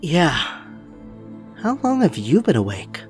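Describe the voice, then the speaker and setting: A man's voice: on the high side, huge pitch swings, slow, faint music behind, heavily acted. A man acting a haunted, stubborn young superhero in an audio drama, earnest and emphatic. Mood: haunted, resolute.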